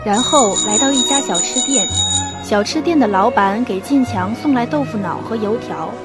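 A woman's voice: fairly high pitch, animated, normal speed. A young woman reading scripted storytelling narration for a student radio drama. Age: young adult. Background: music.

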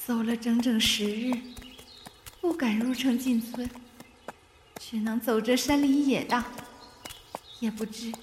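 A woman playing a gentle, soft-spoken young woman fleeing with a child, in a Chinese costume radio drama. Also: on the high side, steady tone, measured pace, long pauses, natural voice. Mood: worried. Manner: soft, soothing, polite. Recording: faint background noise, outdoor ambience.

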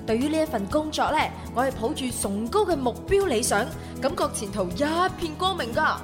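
A woman's voice: high voice, expressive, fairly quick. A woman playing a bubbly, optimistic young artist's assistant in a radio drama monologue, chirpy and self-assured. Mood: bright, confident. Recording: long reverb, music behind.

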